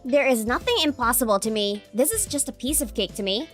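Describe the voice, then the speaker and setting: A woman voicing a proud, cocky teenage delinquent girl with a soft side, expressively, in a manga dub. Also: on the high side, sing-song, a little fast. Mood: defiant. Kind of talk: scripted manga dub acting. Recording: music bed behind voice.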